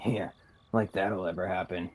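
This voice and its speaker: A young man plays a chummy but resigned neighbour in a radio drama. His voice is fairly low and rough-breathy, conversational in delivery. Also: steady tone, normal speed, a little mellow. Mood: disgruntled. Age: young adult. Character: disgruntled, resigned married neighbour and buddy. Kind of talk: radio theatre acting.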